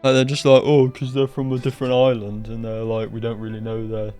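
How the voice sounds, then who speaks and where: A man's voice: mid-range voice, monotone, fairly quick, dark tone, clear, resonant tone. A man giving casual livestream game commentary, blunt and exasperated, with a sweary, ranting manner. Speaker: game streamer. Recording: game music behind voice.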